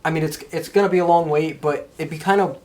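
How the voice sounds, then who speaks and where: A man with a slightly higher-pitched voice hosts a casual podcast discussion. He speaks conversationally, like someone chatting with his co-hosts. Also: steady tone, fast talker, a little mellow. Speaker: podcast host.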